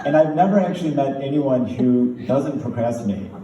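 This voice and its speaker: A man's voice: medium pitch, lively intonation, normal speed, highs cut off. Rambling man speaking from a distance. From Turkey. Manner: rambling, many fillers and restarts, laughing warmly. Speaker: pulmonologist colleague giving tribute. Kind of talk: informal tribute speech. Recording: distant echoey room, faint noise.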